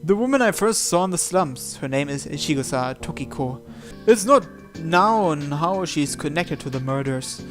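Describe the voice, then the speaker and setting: A man's voice: on the high side, lively intonation, moderate pace, a bit bright. A man reading a visual novel aloud, narrating and acting out the protagonist's lines. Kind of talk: dramatized reading of narration and dialogue. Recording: music playing behind the voice.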